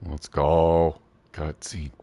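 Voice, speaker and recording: A man's voice: rumbling low, completely flat delivery, very slow, dark tone. A man giving casual, laid-back gameplay commentary as a streamer, reacting appreciatively. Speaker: game streamer. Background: game music.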